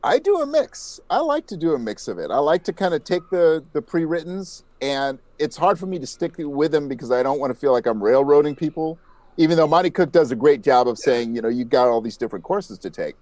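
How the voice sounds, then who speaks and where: A man's voice: fairly high pitch, sing-song, a little fast, a little mellow, no echo. A man chatting casually as an interview guest, with an agreeable, self-deprecating way of talking. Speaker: podcast creator and audiobook narrator, interview guest.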